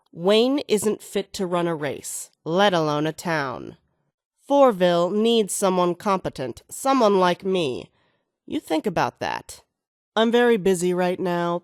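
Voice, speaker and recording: A woman's voice: lowish voice, a little mellow, no echo, clean studio sound. An actress playing an ambitious, scheming female mayoral candidate in a video game, speaking with self-assured, oratorical delivery.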